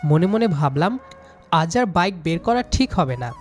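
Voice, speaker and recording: A man's voice: fairly high pitch, very animated, unhurried. A young man reading a scripted first-person story, acting out his own lines of dialogue. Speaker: story narrator playing the student hero. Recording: music under the voice. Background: background music.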